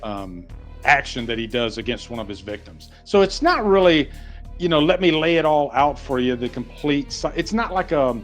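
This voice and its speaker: A man's voice: medium pitch, expressive, normal speed. Man chatting casually over music. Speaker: podcast co-host. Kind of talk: casual film and TV discussion.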